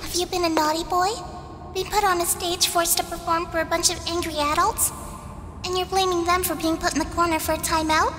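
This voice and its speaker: A woman's voice: very high-pitched, background noise. A woman playing a bratty, scornful little girl in a radio play, with mocking, childish name-calling. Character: taunting little schoolgirl, bratty and scornful, on a stage. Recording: long hall reverb, music behind.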